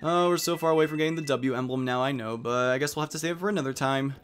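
A man's voice: medium pitch, very fast, fairly bright tone. A man giving casual, jokey, rambling commentary as host of a game playthrough. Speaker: let's play host.

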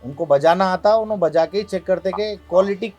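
A man's voice: high voice, brisk, darkish tone, soft background music. A man from Hyderabad with a local accent, a craftsman giving plain-spoken, casual explanations in an interview at a small workshop.

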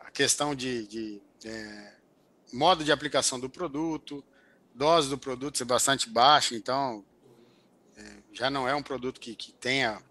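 A man's voice: mid-range voice, pushed and bright. An older man, an agricultural researcher, explaining things in a remote interview over a headset, with many fillers and restarts. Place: home office indoors. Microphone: headset over video call.

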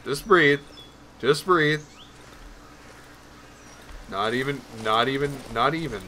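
A man's voice: medium pitch, slow pace, pushed and bright, slight background noise. A man giving casual let's play commentary, rambling nervously and talking to himself as he plays. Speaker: gaming video host.

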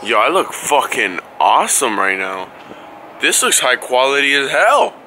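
A man's voice: medium pitch, big pitch swings, measured pace. Young male vlogger, casual and cheeky, swears freely, handheld camera with noisy surroundings. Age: young adult. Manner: playful, slangy, swearing. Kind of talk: casual vlog chat. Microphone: handheld camera at arm's length. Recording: noisy handheld recording, music behind.

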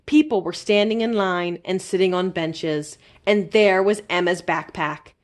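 A woman storyteller reading a children's book aloud expressively, with careful, dramatic emphasis and pauses. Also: fairly low pitch. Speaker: library storyteller.

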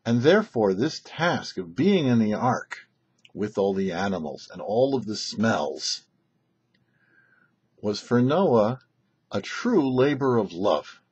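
An older man, a rabbi hosting a short religious teaching, speaking in deliberate phrases with emphatic stresses. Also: fairly low pitch, very animated, darkish tone, husky, muffled recording. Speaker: rabbi, show host. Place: small indoor set, seated before backdrop.

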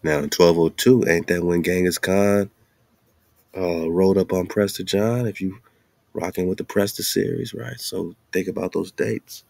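A man's voice: low-pitched, fairly even intonation, a little slow, creaky, darkish tone, no echo. An American man hosting, reading a text aloud and breaking into colloquial, off-the-cuff commentary with emphatic asides. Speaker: host.

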